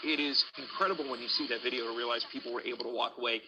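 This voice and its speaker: A man's voice: medium pitch, fairly even intonation, rapid-fire. A man presenting live news as a studio anchor, partly ad-libbing. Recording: faint noise on broadcast audio.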